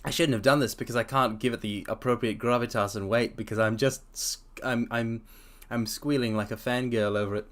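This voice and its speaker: A man streaming a dramatic reading of a story, breaking off to chat excitedly, often stammering and restarting his sentences. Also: mid-range voice, brisk, hardly any pauses.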